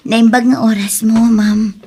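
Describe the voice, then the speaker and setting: An actress playing a soft-hearted young woman in love in an Ilocano radio drama, with an Ilocano accent, speaking emotionally and hesitantly in a breathy voice. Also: fairly high pitch, level tone, a little slow. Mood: hesitant, shaken.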